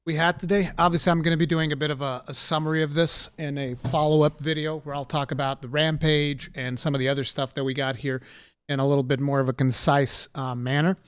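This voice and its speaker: Young man from Portugal, livestream host, excited casual chatter, close dry mic. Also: fairly high pitch, fast talker, smooth, no room sound. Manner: excited, chatty, thanks viewers with a set phrase. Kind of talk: casual live commentary.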